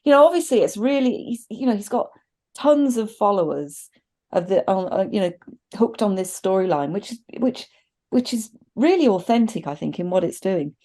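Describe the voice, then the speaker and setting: A woman's voice: mid-range voice, animated, normal speed, mellow. A middle-aged woman in casual discussion as a podcast co-host, talking in a thoughtful, hedging, conversational way on a video call. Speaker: podcast co-host reviewing films. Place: indoors at a desk, video call with virtual backdrop.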